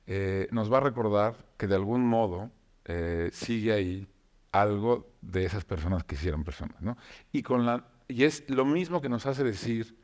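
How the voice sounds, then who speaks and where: A man, a novelist, talking reflectively about writing, with frequent pauses and restarts. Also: lowish voice. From Mexico. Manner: reflective, many filler hesitations and restarts. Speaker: novelist guest. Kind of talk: conversational talk show discussion.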